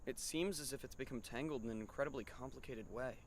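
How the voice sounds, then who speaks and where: An actor playing a puzzled high school boy in an anime fan dub, asking hesitant questions. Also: fast talker, slight background noise, natural voice. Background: background music.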